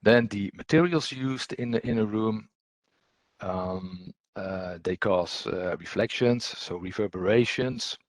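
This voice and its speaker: Low-voiced man, halting technical presenter. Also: dry recording. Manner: hesitant, halting delivery with repeated words. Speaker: technical presenter, audio trainer. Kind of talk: explanatory slide presentation, unscripted.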